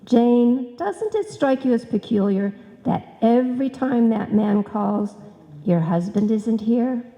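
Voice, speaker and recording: Actress as suspicious secretary in a radio comedy, mellow voice, slow n pointed, big hall reverb. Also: female voice, on the high side, muffled recording. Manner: pointed, skeptical. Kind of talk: old-time radio comedy acting.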